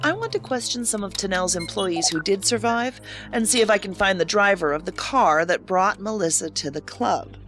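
A woman's voice: medium pitch, lively intonation, normal speed, hardly any pauses, lightly acted. A woman playing a skeptical federal agent in an audio drama, speaking in a direct, businesslike way. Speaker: federal investigator. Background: faint music.

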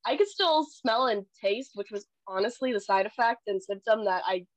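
A woman's voice: medium pitch, moderate pace, no echo. A woman in her twenties, a podcast guest, telling stories in casual chat with many "like" fillers, a little stuffy from a cold. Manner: storytelling, animated, many "like" fillers. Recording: dull, dry lo-fi remote audio.

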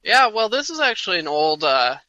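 A man's voice: high voice, very animated, fairly quick, sharp tone, dry recording, muffled recording. A man co-hosting a podcast, explaining things plainly in casual chat and saying "like" a lot. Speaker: podcast co-host.

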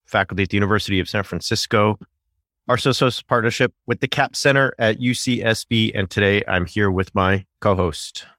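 A man's voice: fairly low pitch, fairly quick, fairly bright tone, no echo, clean studio sound. A middle-aged man hosting a podcast, chatting in a friendly, joking way with hesitant fillers. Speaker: podcast host. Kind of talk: casual podcast chat.

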